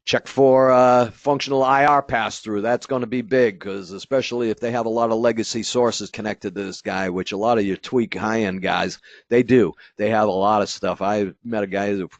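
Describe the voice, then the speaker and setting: A low-voiced man leads a training webinar for installers in a loose, rambling way, full of "uh" and restarts. His voice comes across close and dry, with a slightly dull, muffled tone. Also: fairly even intonation, moderate pace, no room sound. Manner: casual, rambling, many fillers and restarts; acts out an installer's inner thoughts. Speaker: company product trainer.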